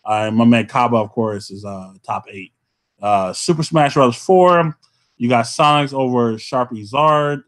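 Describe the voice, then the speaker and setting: A man hosting a casual podcast, talking loosely and ending nearly every phrase with "man" as he shouts out players. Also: medium pitch, unhurried. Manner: laid-back, says "man" constantly, shouting out players. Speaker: podcast host.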